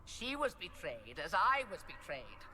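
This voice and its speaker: A woman's voice: lowish voice, huge pitch swings, pushed and bright, slight background noise, full character voice. Imperious ancient witch, sweeping delivery. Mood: cryptic, ominous. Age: older. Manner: theatrical, deliberate, ominous. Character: ancient witch mother, cryptic, imperious and vengeful. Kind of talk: dramatic video game acting.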